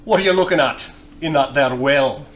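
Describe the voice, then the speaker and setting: A man's voice: mid-range voice, moderate pace, fairly bright tone, lightly acted. A man at a tabletop game voicing a pompous, vain, easily indignant adventuring lord. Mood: grandiose. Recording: music bed behind the voice.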